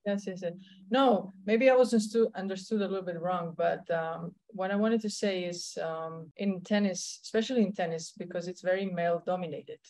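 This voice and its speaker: A woman in her thirties with a Slovenian accent, speaking as a tennis coach in a video-call interview, often pausing and repeating words as she searches for English phrasing. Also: lowish voice, darkish tone, rough recording. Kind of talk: casual interview chat. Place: indoor room at home.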